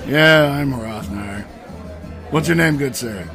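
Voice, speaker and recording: An actor playing a blunt, sarcastic barbarian adventurer with a casual manner in a comic fantasy audio drama. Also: male voice, medium pitch, takes it slowly, vocal fry. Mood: sizing up. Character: gruff but civilized, wisecracking male barbarian adventurer. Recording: music playing behind the voice.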